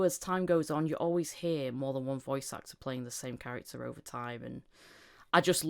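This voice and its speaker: A woman hosting a casual interview podcast, talking in an enthusiastic, rambling way. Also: deep voice. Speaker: podcast host.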